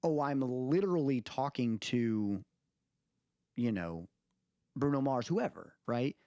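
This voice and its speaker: A man's voice: medium pitch, lively intonation, takes it slowly, warm tone, dry recording, clean studio sound. A man co-hosting a podcast in casual conversation, emphatic and repeating phrases to drive points home. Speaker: podcast co-host. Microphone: close mic on a remote recording call.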